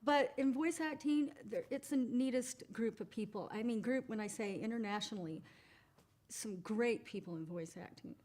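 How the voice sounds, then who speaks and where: A middle-aged woman voice actress chatting as a guest in an interview, upbeat and quick to laugh. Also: fairly high pitch, darkish tone. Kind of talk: casual interview chat.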